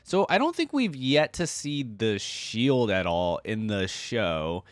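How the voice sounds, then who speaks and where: Man, reaction video host, lively swooping intonation, casual commentary, expressive, dry close sound. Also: lowish voice, slow, no room sound. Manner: animated, expressive, exclaims often.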